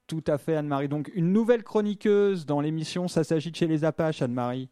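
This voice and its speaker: A male radio host with a mellow, fairly high voice leads a relaxed studio show. He talks in a chatty, leisurely way, leaning on fillers as he greets each guest. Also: a little fast, clear, resonant tone, soft background music. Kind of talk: casual radio show hosting.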